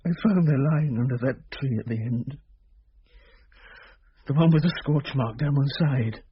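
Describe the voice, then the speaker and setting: An actor playing an anguished, ashamed father who speaks haltingly and pleadingly in a radio drama. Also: medium pitch, husky, dull audio. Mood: grieving confession. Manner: halting, pleading, seeking reassurance. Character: anguished, ashamed father confessing a long-kept secret.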